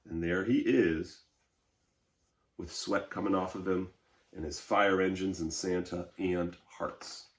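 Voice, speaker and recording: A male teacher reads a picture book to children, pausing for simple explanations. His voice sits fairly low and moves very slowly. The room echoes around it. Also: fairly even intonation. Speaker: teacher reading aloud. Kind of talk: children's storybook read-aloud with commentary. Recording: roomy, echoing indoor sound.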